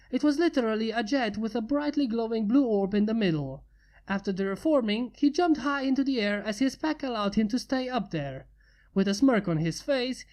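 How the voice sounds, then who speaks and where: Mellow woman reading a story aloud. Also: fairly high pitch. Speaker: fanfiction reader.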